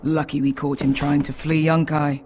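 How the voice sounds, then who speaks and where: Actress playing a low-voiced, brash female sellsword. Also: level tone, normal speed, noisy background, lightly acted. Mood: gruff, businesslike. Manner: blunt, sardonic, foul-mouthed. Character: brash, cynical female sellsword, loyal but greedy. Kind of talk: video game character acting.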